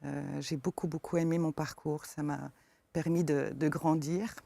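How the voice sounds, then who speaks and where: Woman w/ eastern french accent, soft hesitant talk, lots of euh, dry room. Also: sits low, steady tone, normal speed, creaky, no room sound. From eastern France. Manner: soft-spoken, hesitant with many fillers. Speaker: forest therapy guide. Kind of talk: interview answers.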